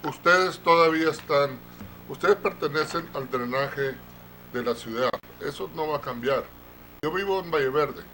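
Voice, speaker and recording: A man at a council meeting interpreting the city manager's answer on the spot, halting and restarting. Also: on the high side, pushed and bright, a little hiss or hum, poor audio. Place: council chamber.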